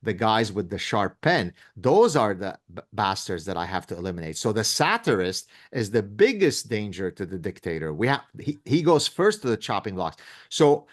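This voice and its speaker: A man's voice: lowish voice, sing-song, moderate pace, hardly any pauses. A man in his fifties, a professor guest in an interview, talking in an emphatic, sarcastic, lecturing way. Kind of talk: interview conversation.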